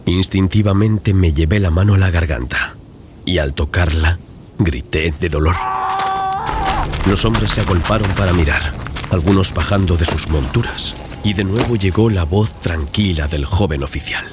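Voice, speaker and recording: A man's voice: low voice, normal speed, noisy background. A man reading a scripted first-person story as audiobook narration. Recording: music behind the voice. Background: clear background music.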